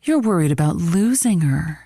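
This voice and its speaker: A woman's voice: lowish voice, expressive, normal speed, mellow, dry recording, studio quality. A woman playing a blunt, teasing, motherly radio host who gives bawdy love advice in a conversational comedic audio drama. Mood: blunt, prodding.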